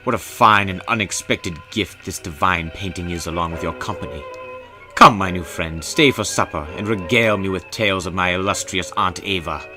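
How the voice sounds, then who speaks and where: A man's voice: sits low, moderate pace, close to an everyday voice. A man voicing an anxious, affected count in a horror story, with florid and formal speech. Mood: genteel, effusive. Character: affected, anxious male count, a genteel heir. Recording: music behind the voice.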